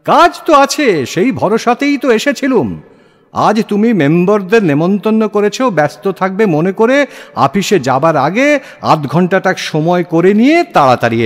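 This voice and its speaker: Man acting a loud, blustering, high-strung bank manager. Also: full character voice. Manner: loud, indignant, sarcastic complaining. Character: blustering, jealous male bank manager with a heavy build. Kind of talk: Bengali audio play acting. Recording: echoey long reverb, music behind.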